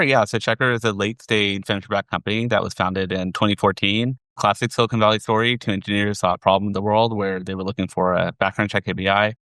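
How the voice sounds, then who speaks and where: A middle-aged man with an American accent, giving measured, explanatory answers as an interview guest. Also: fairly low pitch, fairly bright tone, no room sound. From southern California, USA. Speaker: interview guest, finance executive.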